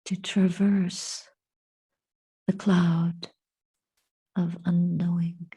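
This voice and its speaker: A woman giving a guided meditation and reflection as a Buddhist teacher, speaking softly and breathily in measured, contemplative phrases with long pauses. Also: lowish voice, little pitch movement. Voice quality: soft, breathy.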